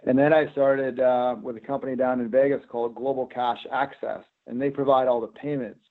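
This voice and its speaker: Over a thin, phone-like connection comes a middle-aged man. He talks at an ordinary pitch, in the conversational way of an executive guest answering an interviewer. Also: flat delivery, a little fast. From Canada, Alberta prairies. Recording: narrow, tinny phone-line sound.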